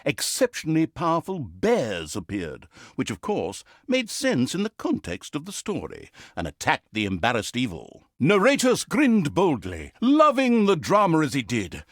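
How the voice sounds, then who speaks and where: An actor playing a wry, mischievous storyteller in a fantasy game, narrating in a theatrical, mock-dramatic, sardonic manner. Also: male voice, on the high side, huge pitch swings, a little slow, no room sound, clean studio sound, full character voice. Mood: mock-grand. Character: wry, mischievous male storyteller mocking an evil fantasy saga.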